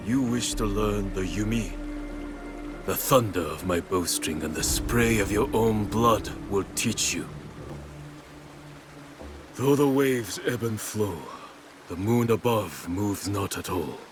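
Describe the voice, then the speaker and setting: Game cutscene samurai, bitter and proud, grave formal delivery, deepish pitch, strong score underneath. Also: male voice, takes it slowly. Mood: stern, scornful. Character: proud bitter older samurai archer, loyal to his lord. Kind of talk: dramatic game cutscene acting. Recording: music behind the voice.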